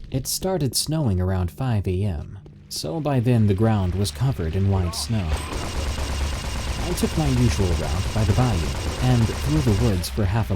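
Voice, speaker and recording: A man reading submitted encounter stories aloud in steady, measured scripted narration. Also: lowish voice, background noise.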